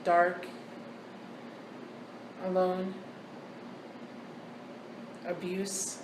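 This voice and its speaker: A woman's voice: lowish voice, monotone. Woman ghost hunter blurting out single words, far off mic in an echoey basement, lots of noise. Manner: calls out single halting words, then explains them. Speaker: paranormal investigator relaying words she hears. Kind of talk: casual ghost-hunt chatter. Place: old hotel wine cellar, basement. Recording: echoey cellar, distant mic, loud background noise.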